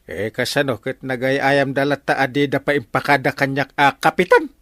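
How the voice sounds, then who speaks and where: A man's voice: medium pitch, fairly even intonation, moderate pace, a bit bright, dry recording, natural voice. An actor with an Ilocano accent playing a boastful, flustered male village captain in a comedy radio drama, stammering and blustering. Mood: defiant.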